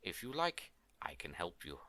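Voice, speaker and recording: A man's voice: deep voice, sing-song, normal speed, pushed and bright, dry recording, voice pushed down, full character voice. A man voicing a mean-tempered, greedy little old woman from a storybook in a haughty, demanding manner. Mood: sly, wheedling. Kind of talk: storybook character voice.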